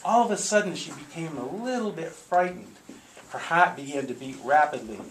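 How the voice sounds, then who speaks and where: Male storyteller, fairly high-pitched, theatrical, deliberate, playful, echoey room, noticeable background noise. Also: very animated. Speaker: live storyteller. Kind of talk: dramatic spoken storytelling to a live group. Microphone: small consumer video camera in the room. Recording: echoey noisy room, consumer camcorder audio.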